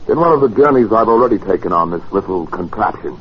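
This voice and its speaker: A male actor plays a daring, insistent inventor in a vintage radio adventure. The voice sits low and sounds sure of itself. The old recording is muffled and lightly hissy. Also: animated, quick pace, lightly acted. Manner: assured, insistent. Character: confident, daring gentleman inventor of a time machine. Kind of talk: 1940s radio acting.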